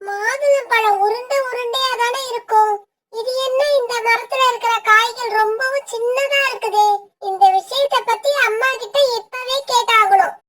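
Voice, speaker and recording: A tiny, earnest girl bird from a children's cartoon, voiced by a woman. The voice is extremely high and piercingly bright, with a childlike piping delivery. It sounds slightly off the mic. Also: fairly even intonation, normal speed, no echo, distant mic. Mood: earnest, puzzled. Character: small earnest young female bird and gentle forest fairy.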